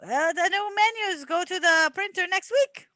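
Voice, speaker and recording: Woman playing a high, bright, chatty mobster sidekick. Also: moderate pace, no echo, clean studio sound. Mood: hesitant. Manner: rambling, hesitant, placating wiseguy patter. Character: talkative, deferential male mobster sidekick. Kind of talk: amateur old-time radio comedy play.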